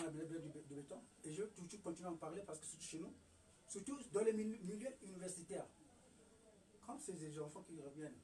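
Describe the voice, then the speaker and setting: A high-pitched man delivers an emphatic lecture, firing rhetorical questions and stumbling over restarts. He sounds set back from the microphone, a bit distant. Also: darkish tone. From Republic of the Congo. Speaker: herbal remedy promoter. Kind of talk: lecturing monologue.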